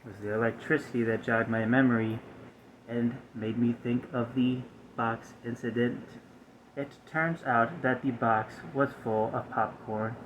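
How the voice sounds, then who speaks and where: A man voicing a stiff, aggrieved, malfunctioning robot in a soft-spoken ASMR roleplay, with formal, halting, over-precise phrasing. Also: mid-range voice, level tone, highs cut off, slight background noise, lightly acted.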